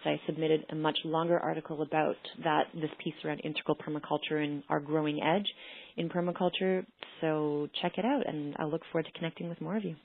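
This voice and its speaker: Woman, low pitch, earnest informal presenter, rephrases often, dry phone-like online call audio. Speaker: panelist presenting.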